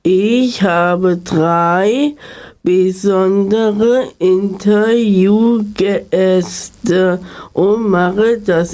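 Very slow, mellow female interviewer. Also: mid-range voice. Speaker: radio show host, interviewer. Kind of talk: scripted interview questions.

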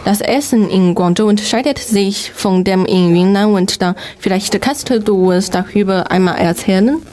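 A woman co-hosts a radio program in a mid-pitched voice. She has a Chinese accent. She delivers prepared introductions and puts questions to guests. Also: normal speed, darkish tone, slight background noise. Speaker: radio co-host. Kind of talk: scripted introduction and interview questions.

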